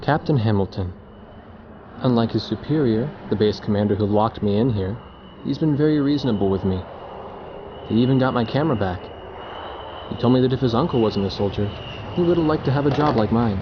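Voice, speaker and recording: A man's voice: mid-range voice, a little fast, long pauses, muffled recording, close to an everyday voice. A man playing a young, reflective and earnest war photojournalist in a video game. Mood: reflective, sober. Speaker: narrator and journalist character. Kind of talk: scripted narration and dialogue in game cutscenes. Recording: clearly noisy track with vehicle ambience.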